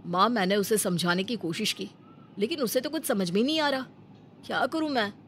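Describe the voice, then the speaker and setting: A woman's voice: medium pitch, moderate pace, a bit bright, slight background noise, lightly acted. A woman voicing an earnest, devoted young husband in a cartoon story, insistent and pleading. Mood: pleading, defiant.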